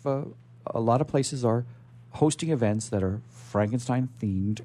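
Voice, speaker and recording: A man's voice: on the low side, vocal fry, dark tone. A man hosting a radio show in a casual, enthusiastic, rambling way, often repeating his phrases.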